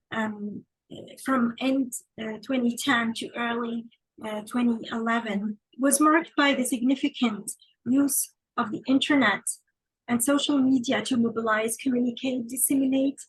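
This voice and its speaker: A woman from Tunisia giving an academic conference talk over a video call. Her voice sits a little high and slightly husky, with a dry, close sound. She speaks hesitantly, with many fillers. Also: no echo, rough recording. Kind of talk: conference paper presentation.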